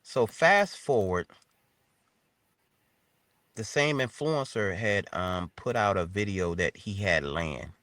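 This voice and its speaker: American male, fifties, low voice, informal interview guest, dry sound. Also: a bit bright, no room sound. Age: 50s. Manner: informal, colloquial phrasing. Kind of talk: casual conversational storytelling.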